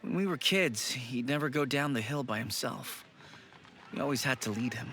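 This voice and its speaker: An actor playing a gentle, earnest young man in an anime game. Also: medium pitch, moderate pace, soft background music, natural voice. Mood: fond. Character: earnest young man, old friend of the ghost. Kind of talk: anime video game voice acting.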